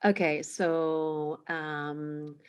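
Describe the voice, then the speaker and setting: Low-voiced reflective woman coach. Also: level tone, clear, resonant tone. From Netherlands. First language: Cantonese. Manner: reflective, hesitant, many "um" pauses. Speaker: guest, emotional awareness coach. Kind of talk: interview answers.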